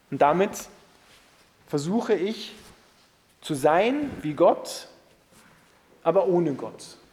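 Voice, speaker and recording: Male preacher, higher-pitched voice, emphatic sermon delivery, long hall reverb. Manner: emphatic, rhetorical delivery with swings from loud to hushed.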